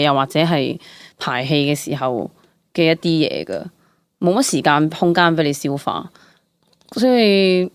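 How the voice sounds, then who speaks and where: A young woman with a low voice and a Hong Kong Cantonese accent, recorded close and dry. She talks casually as an actress being interviewed, colloquial and full of exclamations. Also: no echo. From Hong Kong, Southern District. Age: young adult. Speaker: actress, podcast guest.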